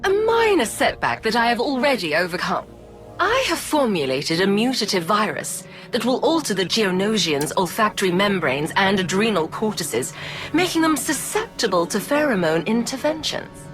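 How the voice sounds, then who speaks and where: Game villainess: a scheming Sith scientist, highly animated pitch, haughty and precise, music underneath. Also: female voice, normal speed. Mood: smug scheming. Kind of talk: video game cutscene acting.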